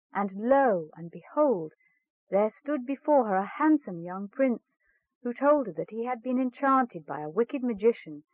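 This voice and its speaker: A woman's voice: medium pitch, no echo. A woman reading a fairy tale aloud as a storyteller, in scripted narration. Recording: narrow, phone-like band.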